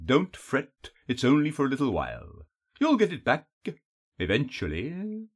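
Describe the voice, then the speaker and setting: A man voicing a sly, taunting elf captor in an audiobook, with a honeyed, throaty voice and a mocking, drawn-out purr. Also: medium pitch, huge pitch swings, slightly rough, exaggerated voice. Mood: smug, teasing. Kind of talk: audiobook character voice.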